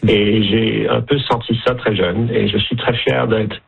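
A man's voice: on the low side, fairly even intonation, a little slow, vocal fry, slightly rough. A man from Alberta with a Canadian French accent, answering radio interview questions with many hesitations and restarts. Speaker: interview guest, director general of a francophone federation. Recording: lo-fi phone-line radio with faint music.

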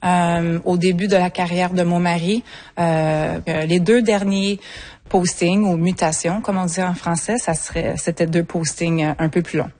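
A middle-aged woman from Montreal, a native English speaker, answering interview questions in a relaxed way with many fillers and restarts. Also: lowish voice, moderate pace. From Montreal, Quebec. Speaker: guest, association coordinator.

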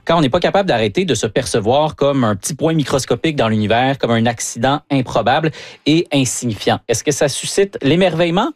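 A man's voice: medium pitch, fairly quick, dry recording. Radio host man, dry studio sound. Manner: relaxed, chuckling, with frequent "euh" fillers. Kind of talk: radio interview.

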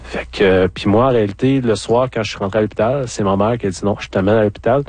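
A man with a Quebec French accent speaks in a low voice, recorded dry. He is an interview guest recounting his own experience in a candid, colloquial, conversational way. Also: fast talker, a little mellow, no room sound. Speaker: interview guest, former farmer. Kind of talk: conversational personal storytelling.

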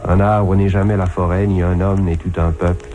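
A man's voice: sits low, flat delivery, fairly quick, music in the background. A man reading poetic prose in a solemn, declamatory way, from an archive recording.

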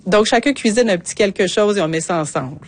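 Woman, Quebec French accent, slightly rough voice, casual chat, co-host. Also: mid-range voice, fast talker. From Quebec, Canada.

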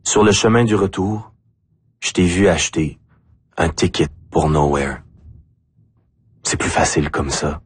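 Male poet, Acadian French accent, very raspy voice, slow deliberate phrasing, spoken-word delivery. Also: deep voice. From Acadia, New Brunswick, Canada. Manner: deliberate, short phrases with pauses. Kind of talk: spoken-word poetry recital.

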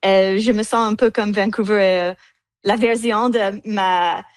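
A woman's voice: medium pitch, fairly even intonation, measured pace, no room sound. A woman with an English accent, a cellist speaking French as a guest, hesitating with many fillers and slipping into English words. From United States. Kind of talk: interview answers.

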